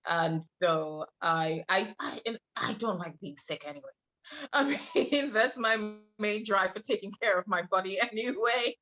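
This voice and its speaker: A woman in her thirties from Trinidad, a podcast guest telling her personal story with many hesitant pauses. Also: medium pitch, animated, sharp tone. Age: 30s. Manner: hesitant, many filler pauses, laughs between thoughts. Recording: muffled lo-fi audio.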